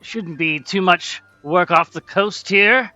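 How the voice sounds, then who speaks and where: A man running a tabletop game as game master, slightly higher-pitched than most men, bright and unhurried. He narrates in a relaxed, chatty way, recorded dry on a somewhat dull home mic with faint music behind. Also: no room sound. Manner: laid-back, chuckling, chatty.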